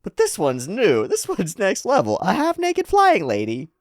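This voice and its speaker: A woman's voice: mid-range voice, wildly swooping pitch, a little slow, no echo, clean studio sound, voice pushed up. Woman acting a brash, blunt, foul-mouthed fighter. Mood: exasperated. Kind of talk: comic read-aloud character voice.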